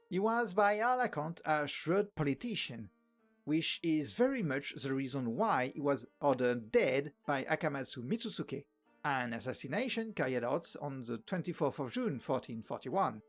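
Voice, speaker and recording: A man from France with a French accent narrating a history video, with wry joking asides. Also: fairly high pitch. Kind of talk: scripted narration.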